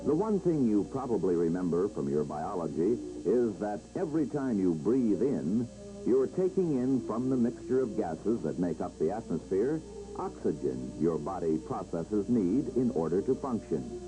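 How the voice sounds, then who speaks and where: An American man giving a dramatic, measured scripted narration for a 1960s training film, on an old film soundtrack. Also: lowish voice, big pitch swings, low-quality recording. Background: loud background music.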